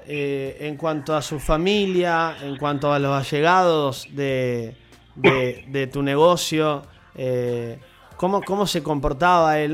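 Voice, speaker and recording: A man interviewing a guest in a measured way, with drawn-out hesitations. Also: fairly high pitch, a bit bright. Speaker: interviewer, radio host. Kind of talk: radio interview.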